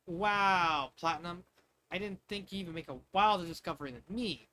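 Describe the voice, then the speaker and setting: A man voicing an excitable, easily amazed young girl cousin in an amateur fan fiction reading. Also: high-pitched, moderate pace, a little hiss or hum.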